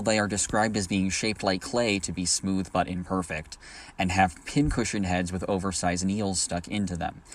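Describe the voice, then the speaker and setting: Male listener submission, deep voice, formal scripted persuasion.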